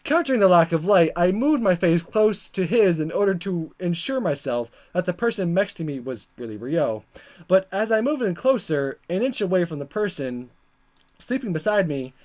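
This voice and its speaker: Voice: medium pitch, expressive, normal speed, darkish tone. A gaming video narrator reading a visual novel aloud, stumbling over lines and chuckling along. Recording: music under the voice. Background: game music.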